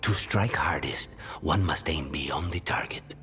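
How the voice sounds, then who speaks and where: A low-voiced, brooding assassin who shares his body with a demon, from a video game cutscene. He speaks gravely and with measure, in a forceful tone that drops to a hush at phrase ends, over faint music. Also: unhurried, heavily acted. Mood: grim resolve.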